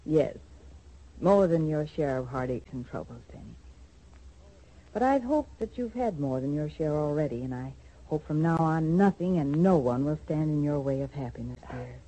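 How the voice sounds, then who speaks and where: A gentle middle-aged mother from a 1940s radio soap, with a low voice. She sounds dark and slightly husky, and she speaks with earnest, hesitant tenderness as she worries over her grown child. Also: expressive, normal speed, poor audio. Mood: anxious tenderness.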